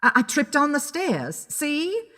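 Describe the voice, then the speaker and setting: A woman voicing a flighty, excitable, melodramatic female client in an audio story. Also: high voice, slow, big-room sound, voice pushed up, heavily acted. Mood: agitated.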